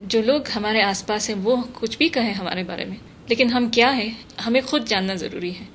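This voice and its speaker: A woman giving measured, thoughtful interview answers, mixing English terms into her speech. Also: on the high side. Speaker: interview guest, translator. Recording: noticeable steady background noise.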